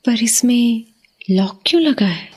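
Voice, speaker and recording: A woman playing an uneasy, suspicious young wife in a horror audio drama, hesitant and hushed, lingering on words in a breathy voice. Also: fairly high pitch, lively intonation, unhurried. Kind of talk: Hindi horror audio drama, acted dialogue.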